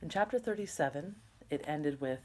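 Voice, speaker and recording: A woman's voice: low-pitched, lively intonation, moderate pace, dark tone. A woman teacher reading a story aloud expressively, with casual, instructional asides to her students.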